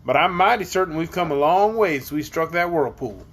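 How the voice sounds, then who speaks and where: Lady reading a storybook sailor, folksy and husky, takes his time. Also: deep voice, expressive, fairly quick. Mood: plain, steady. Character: Cap'n Bill, plainspoken folksy old sailor.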